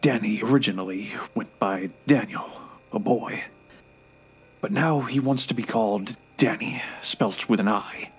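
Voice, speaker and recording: An actor playing a frail, bitter, remorseful old grandfather in an audio drama, halting and irritable, sometimes hushed and breathy. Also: on the low side, soft background music. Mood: wistful, remorseful.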